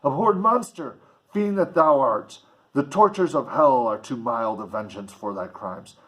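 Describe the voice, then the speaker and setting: A man's voice: medium pitch, animated, measured pace, a bit bright. Man playing an anguished, vehement scientist. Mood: raging. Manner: declamatory, archaic, vehement. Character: anguished, furious male scientist denouncing his creation.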